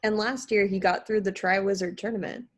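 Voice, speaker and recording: A woman's voice: medium pitch, steady tone, brisk, lightly acted. A woman playing an impressed teenage schoolgirl in an amateur table read.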